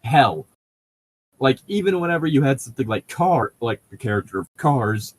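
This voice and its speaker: A man with an average-pitched voice chats casually as a podcast co-host. He rambles and digresses, tossing in profanity as he comments on what he reads. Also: lively intonation, normal speed, breathy edge, rough recording. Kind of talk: casual chat and commentary while reading a novel aloud.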